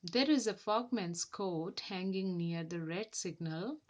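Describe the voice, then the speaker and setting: A woman's voice: fairly low pitch, slow pace, highs cut off. A woman voicing a cautious, practical male engine driver in a children's storybook, with a careful, matter-of-fact manner. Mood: puzzled.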